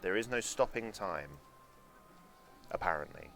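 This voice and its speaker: Low-voiced chatty male streamer. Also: animated, unhurried, fairly bright tone, a little hiss or hum. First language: German. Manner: chatty and sarcastic, swears, muses to chat. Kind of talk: casual live-stream commentary.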